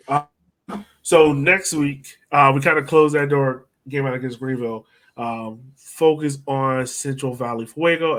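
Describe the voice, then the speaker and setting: A man's voice: mid-range voice, a bit bright. Casual male sports podcast host.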